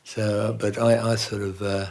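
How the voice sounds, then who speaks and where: An older man with an Australian accent, a guest telling lively rambling stories about his life in music. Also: lowish voice, measured pace. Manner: enthusiastic, rambling anecdotes with laughs. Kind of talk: casual interview storytelling.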